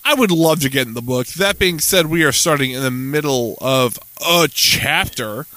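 A man's voice: mid-range voice, expressive, moderate pace, sharp tone, no echo. A man co-hosting a casual political podcast, blunt and swearing, stumbling over hard words as he reads aloud. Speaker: podcast co-host.

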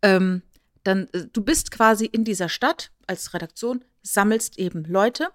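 A woman with a mid-pitched voice chats as a podcast co-host. She explains things like a storyteller, slipping into quotes and checking in with little tag questions. The sound is very dry, with hardly any room in it. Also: measured pace, no room sound. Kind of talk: casual podcast chat, explanatory retelling.

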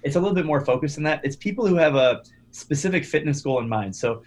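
A young man, a startup founder, talking casually as a podcast guest, energetic and often repeating his first words. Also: on the high side, quick pace. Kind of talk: conversational podcast interview.

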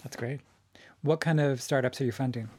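A man's voice: medium pitch, steady tone, a little fast, a little mellow, slightly rough. A man acting as co-interviewer, putting casual, conversational questions to a guest.

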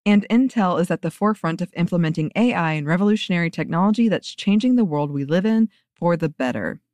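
An announcer reading a scripted commercial in a smooth, polished way. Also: medium pitch, animated, fast, darkish tone, dry recording.

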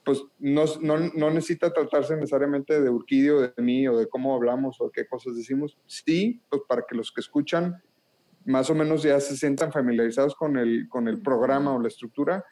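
A man's voice: mid-range voice, fairly quick, warm tone. A man with a northern Mexican accent, hosting a faith podcast in casual, earnest talk with many filler words. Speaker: podcast host. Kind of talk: casual chat.

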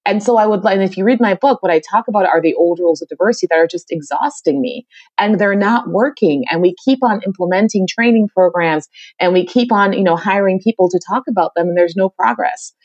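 A woman's voice: mid-range voice, brisk, a little mellow, no echo, muffled recording. A middle-aged woman from the Caribbean, talking energetically as a podcast interview guest and often repeating her phrases. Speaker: guest, diversity consultant.

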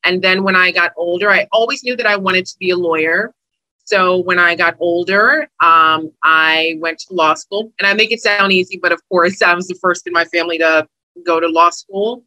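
A woman's voice: lowish voice, steady tone, normal speed, sharp tone, no echo, low-quality recording. A woman, a housing attorney talking as an interview guest, friendly and chatty. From Brooklyn, New York. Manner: friendly and chatty, with easy laughs. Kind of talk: interview conversation.

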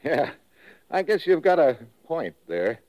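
An actor playing a tough, wry American secret agent who tells his story in terse, deadpan 1950s radio drama style. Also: fairly high pitch, a little slow. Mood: amused. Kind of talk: 1950s radio drama acting, first-person hard-boiled narration. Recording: muffled vintage radio recording with music.

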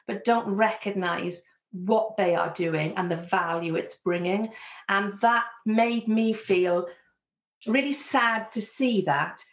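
Welsh woman coach talking it through, earnest, bit of echo around her. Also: mid-range voice. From Wales. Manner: earnest, reflective, with restarts. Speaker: business coach. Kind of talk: interview answers. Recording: echoey room.

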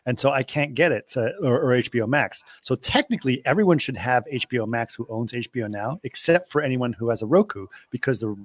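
A man's voice: medium pitch, expressive, a little fast, few pauses, no echo. A man from Greece co-hosting a casual talk show, telling stories in everyday conversation. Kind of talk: casual chat, telling anecdotes.

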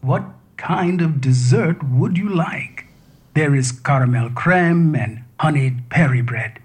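A man voicing a calm male home assistant AI that speaks evenly and literally. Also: on the high side, slightly rough. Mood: placid. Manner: even, literal, unhurried.

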